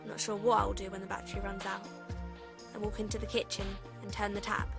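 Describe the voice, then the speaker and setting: A woman's voice: mid-range voice, level tone, fast, vocal fry, lightly acted. A young woman playing a frightened, lonely schoolgirl survivor, narrating an audio drama in an anxious, confiding way. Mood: wistful, anxious. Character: frightened lonely schoolgirl trapped at home in apocalypse. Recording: voice over loud music bed. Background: music.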